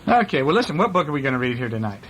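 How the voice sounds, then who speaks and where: Playful dad reading bedtime stories aloud, very lively swooping intonation, slightly noisy home recording. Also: male voice, a little high, racing. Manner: playful, acts out sound effects and asks questions. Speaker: father reading a bedtime story to his children. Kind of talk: casual story reading to young children with asides and questions.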